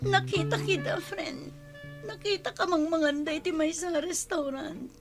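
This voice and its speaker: A woman's voice: very high voice, a little slow, over loud music. A woman with a Filipino accent acting a hurt, nagging, thrifty girlfriend in an emotional, scolding radio drama style. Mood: hurt, urgent. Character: Bianca, hurt, nagging, thrifty girlfriend confronting her boyfriend.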